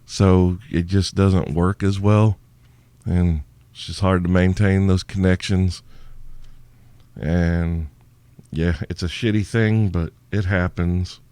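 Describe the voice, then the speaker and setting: Low-voiced, sleepy, profane male podcaster. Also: level tone, slow pace, mellow, no room sound. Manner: profane, irreverent, rambling. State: sleepy, tired. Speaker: podcast host. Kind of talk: crude solo Q&A podcast.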